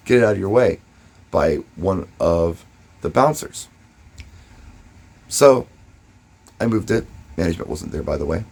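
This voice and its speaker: A man with a fairly low, noticeably husky voice talks in a casual vlog style. He shares advice and anecdotes conversationally, leaning on key words and pausing briefly for effect. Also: a little mellow. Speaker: DJ and vlogger. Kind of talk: casual first-person advice and storytelling to camera.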